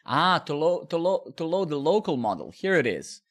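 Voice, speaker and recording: A man's voice: fairly high pitch, very animated, normal speed. A middle-aged man from Serbia, rambling and swearing casually while coding live and chatting with viewers. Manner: rambling, profane, talks to chat while coding.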